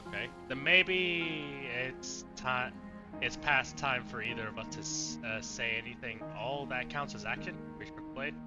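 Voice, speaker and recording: A man's voice: mid-range voice, moderate pace, lo-fi sound, over loud music. A man doing casual let's play commentary, reacting with muttered asides and swearing, and reading dialogue options aloud.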